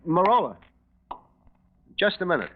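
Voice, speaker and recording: An actor playing a nervous, desperate, weak-willed garage owner who talks hesitantly and stammers, in a 1940s radio mystery. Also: male voice, high voice, very animated, unhurried, a little hiss or hum. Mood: furtive, hesitant. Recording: muffled lo-fi 1940s radio recording.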